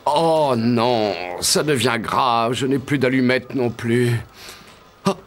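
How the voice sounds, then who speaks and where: An actor playing a cheerful, naive young soldier in an animated fairy tale, expressive and full of exclamations. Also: male voice, medium pitch, slow, a little hiss or hum, lightly acted. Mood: dejected, grumbling. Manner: expressive, exclamatory, talking to himself. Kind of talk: French-language animated fairy-tale dub.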